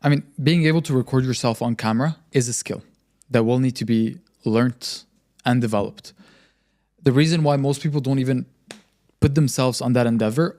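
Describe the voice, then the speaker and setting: A man's voice: mid-range voice, warm tone. A young man hosting a podcast, giving confident advice in a conversational explanatory way. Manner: confident, explanatory, frequent emphatic repetition. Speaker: podcast host and marketing agency founder.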